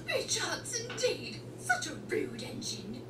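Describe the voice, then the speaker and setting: Prim cartoon lady coach, haughty, extremely high and swooping, echoey with music behind. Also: wildly swooping pitch, takes it slowly, recorded from a distance, heavy background noise, exaggerated voice. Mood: outraged. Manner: haughty, indignant. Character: prim, indignant female railway coach, haughty and posh. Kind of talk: cartoon fan dub.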